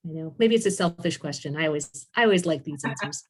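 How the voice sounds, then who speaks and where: A woman's voice: deep voice, expressive, fast, breathy edge. A woman moderating a casual author panel over a video call. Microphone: video call microphone.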